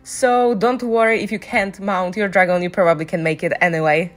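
A woman's voice: fairly low pitch, fairly even intonation, fairly quick. Woman narrating a game walkthrough over music. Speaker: gaming guide narrator. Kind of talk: casual walkthrough commentary. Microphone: voice-over on a microphone, game music behind.

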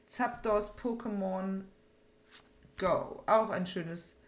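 A woman's voice: medium pitch, very slow. A young adult woman chatting casually and informally while opening card packs as a host, rambling and often repeating her phrases. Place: small room at home, indoors. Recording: roomy echo, background noise, card crinkling.

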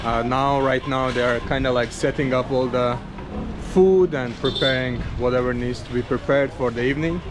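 A man in his thirties from Azerbaijan, talking casually to a handheld camera while he walks through a busy outdoor street market. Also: mid-range voice. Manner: chatty, friendly, talks to camera while walking. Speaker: travel vlogger. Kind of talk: casual walking vlog narration. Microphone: handheld camera on a selfie stick at arm's length. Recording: noisy outdoor street recording.

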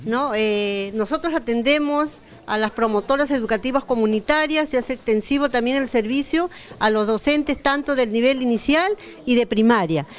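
A woman's voice: on the high side, moderate pace. Woman explaining a resource room. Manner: explanatory, steady. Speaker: educational resource coordinator. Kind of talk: guided tour explanation.

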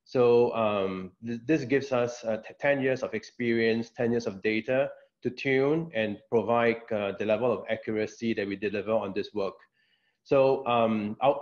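A middle-aged man introducing himself as a tech company vice president on a panel, speaking in a measured way with many fillers and repeats over a video call. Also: mid-range voice, darkish tone. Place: indoor office. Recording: dull lo-fi video call audio.

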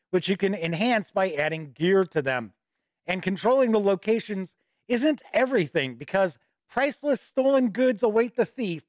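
A man's voice: sits high, dry recording. A middle-aged man with a slight cold and a stuffy voice, hosting a casual live-stream news show from a home studio, chatty and upbeat with asides. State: a little stuffy with a starting cold. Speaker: host and editor-in-chief of a tabletop gaming news show. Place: home studio with shelves of games behind. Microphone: studio mic on boom arm close to mouth.

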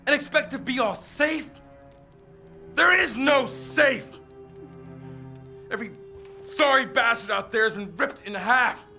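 A drunk, gravelly old villager. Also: female voice, high-pitched, measured pace, long pauses, bright, forceful tone, faint music behind, exaggerated voice. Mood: ranting drunk. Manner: ranting, slurred, scornful. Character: drunk bitter older village woman. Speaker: game character.

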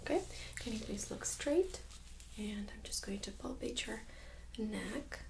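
A young woman speaks very softly, slipping often into a whisper, with a fair amount of vocal fry. She gently guides a medical check in ASMR role-play style, with some room noise behind her. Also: medium pitch, unhurried, rough recording. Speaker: host playing a medical examiner. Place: small indoor room set up as exam room.

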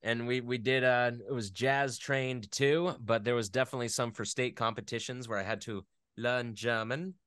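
Smooth, dry-sounding man chatting casually. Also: mid-range voice, steady tone, moderate pace, hardly any pauses, a bit bright, dry recording. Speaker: interview guest, voice actor. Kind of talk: casual chat.

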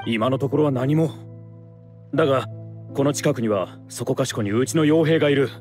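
A man's voice: medium pitch, normal speed, a little mellow, faint music behind, close to an everyday voice. A man playing a serious, resolute mercenary leader in a Japanese game dub, firm and plain-spoken. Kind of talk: Japanese anime game dubbing.

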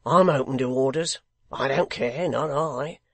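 A rough young rioter from a storybook, acted by a male narrator. He is surly and reckless, calling out bluntly in loud, uneducated speech at a measured pace, close and dry. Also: medium pitch, dry recording, lightly acted. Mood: defiant.

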